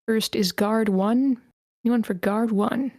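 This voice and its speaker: A woman's voice: on the high side, fairly even intonation, takes it slowly, a little mellow, no room sound. A woman hosting a group session, talking informally and encouragingly as she organizes who takes which role. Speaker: host of a script table read. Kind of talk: casual organizing talk, casting roll call.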